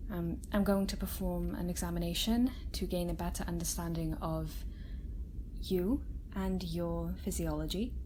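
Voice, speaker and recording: A woman playing a kind, gentle scientist doctor in a soft-spoken ASMR roleplay, reassuring a frightened alien patient with careful, halting explanations. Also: lowish voice, slight background noise, natural voice, rough recording. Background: sci-fi room ambience.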